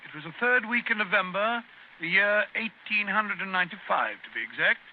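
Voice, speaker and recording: An actor playing a genial middle-aged English doctor in an old-time radio drama, with a chatty, slightly fussy, hesitant delivery. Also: male voice, sits high, expressive, fairly quick. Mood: genial, reminiscing. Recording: tinny, noisy old radio recording.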